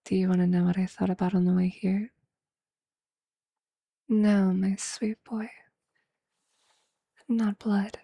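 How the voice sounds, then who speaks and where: A performer voicing a possessive, tender vampire lover in an intimate, breathy ASMR roleplay whisper, with a hushed, seductive and affectionate manner. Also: medium pitch, level tone, no echo. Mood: adoring, seductive. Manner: slow, hushed, seductive, affectionate, formal wording.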